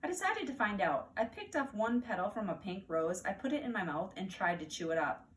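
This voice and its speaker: A woman with an American accent reads a children's story aloud as a teacher, animated and deliberate for young listeners. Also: medium pitch. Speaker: teacher reading aloud to first graders. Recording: distant mic, faint background noise.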